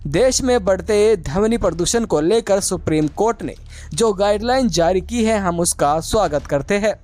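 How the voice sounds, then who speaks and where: A man's voice: sits high, expressive, normal speed, darkish tone. A man reporting in a scripted news narration style.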